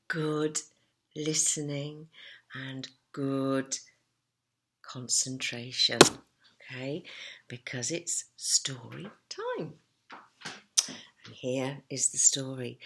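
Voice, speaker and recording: A woman's voice: extremely low pitch, gravelly, a bit bright. A woman reading a picture book to children, talking to them directly in an encouraging, teacherly way. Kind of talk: animated picture-book read-aloud with asides.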